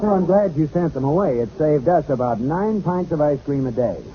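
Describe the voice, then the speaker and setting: A man's voice: fairly high pitch, lively intonation, fairly quick, natural voice. A man playing a dry, easygoing suburban husband in a 1940s radio comedy. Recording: muffled, very noisy old radio recording.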